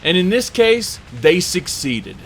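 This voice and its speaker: High-voiced man giving an emphatic game review. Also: very animated, slow pace, gravelly, a little hiss or hum. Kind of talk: informal scripted game review commentary. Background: music under the voice.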